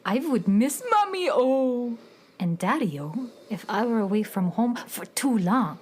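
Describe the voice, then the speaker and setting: A woman's voice: fairly high pitch, lively intonation, slow, darkish tone, husky, full character voice. A woman playing a twelve-year-old beatnik cat-girl from the Moon in a children's radio drama, sincere and full of hip slang. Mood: earnest.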